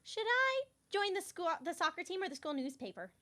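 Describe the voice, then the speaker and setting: Bubbly oblivious schoolgirl narrator for a radio serial, female actor, high-pitched and swooping. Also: very animated, fairly quick, pushed and bright, heavily acted. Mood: eager, earnest. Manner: chirpy, oblivious, earnest. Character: bubbly self-absorbed schoolgirl narrating her own story. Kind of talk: campus radio serial drama acting.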